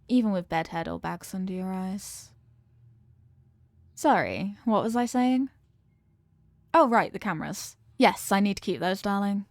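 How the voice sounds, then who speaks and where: A woman's voice: mid-range voice, a little mellow, dry recording, clean studio sound, natural voice. A woman playing a cute, obsessive young girlfriend in a comedic audio roleplay, chatting to the listener in a sweet, earnest, rambling and possessive way. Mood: adoring, then protective, insistent.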